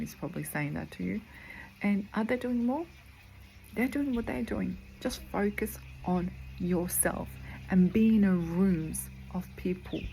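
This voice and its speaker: A woman's voice: fairly low pitch, creaky, dark tone, rough recording. A woman in her forties from Croatia, with a Croatian accent, giving an earnest motivational talk as a spiritual coach, repeating phrases for emphasis. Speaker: spiritual coach and medical intuitive. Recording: noticeable background noise.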